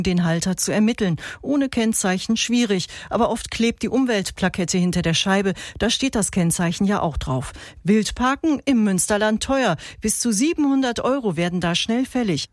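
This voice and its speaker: A woman radio reporter delivering a matter-of-fact, unhurried report. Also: mid-range voice, no room sound, studio quality.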